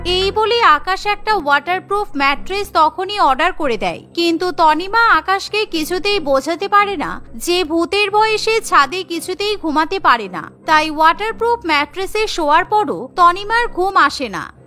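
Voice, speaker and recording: Very high, bright woman storyteller. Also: lively intonation, moderate pace, soft background music. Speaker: narrator. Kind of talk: scripted cartoon story narration.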